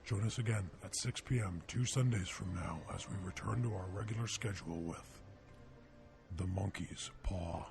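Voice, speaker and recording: Gravelly low-voiced male radio announcer. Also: normal speed, darkish tone. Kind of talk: scripted radio drama announcement. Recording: faint music behind the voice.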